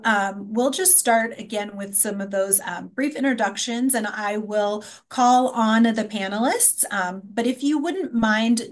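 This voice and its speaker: A woman moderating a conference panel webinar, reading introductions and housekeeping notes with frequent ums. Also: medium pitch.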